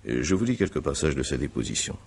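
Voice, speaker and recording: A man playing an examining magistrate in a radio mystery, courteous but insistent, with formal, deliberate phrasing. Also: a bass voice, big pitch swings, creaky, darkish tone, a little hiss or hum, full character voice. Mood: methodical, probing. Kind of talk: French radio mystery drama acting.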